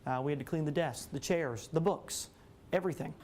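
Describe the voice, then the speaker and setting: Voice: medium pitch, a little fast, darkish tone. A school official giving unscripted interview answers, recorded with faint background noise.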